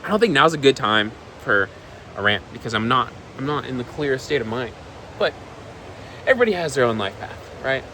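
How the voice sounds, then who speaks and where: A man's voice: mid-range voice, sharp tone, slight background noise. A man of twenty talking casually to camera in a rambling vlog, repeating himself and swearing. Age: 20s. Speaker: vlogger. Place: outdoors on a balcony.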